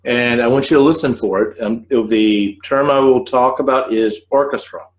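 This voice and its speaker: A man in his forties hosting an informal instructional podcast, talking to the camera in halting, measured phrases from a living room. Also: mid-range voice. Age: 40s. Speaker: host of a scriptwriting video podcast. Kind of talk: informal explanatory talk to camera. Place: living room on a couch, indoors.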